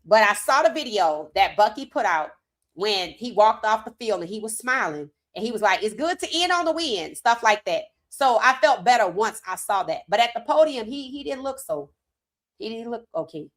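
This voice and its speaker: A woman hosting a sports commentary livestream, hoarse from talking, animated and emphatic with casual slang. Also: medium pitch, normal speed. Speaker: host.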